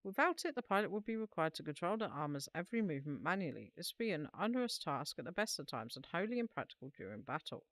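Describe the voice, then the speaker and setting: A woman's voice: fairly low pitch, sing-song, quick pace, hardly any pauses, a bit bright, dry recording. A woman voicing an earnest, wordy engineer explaining machinery in a fantasy video game. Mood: earnest, explanatory.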